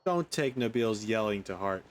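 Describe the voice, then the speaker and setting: A man's voice: fairly low pitch, animated, moderate pace, noisy background. A man streaming a game with casual let's-play commentary, rambling as he thinks aloud. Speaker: gaming streamer.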